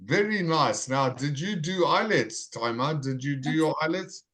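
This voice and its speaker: A man teaching a live online English class over a video call, greeting students and repeating their names slowly and clearly. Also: on the high side, animated, fairly bright tone.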